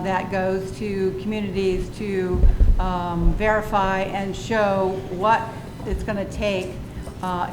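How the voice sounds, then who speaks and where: Woman candidate, lots of ums, echoey noisy room. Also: mid-range voice. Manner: hesitant, many ums and uhs. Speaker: city council candidate. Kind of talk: candidate forum answer. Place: public meeting hall. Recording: echoey hall with noise.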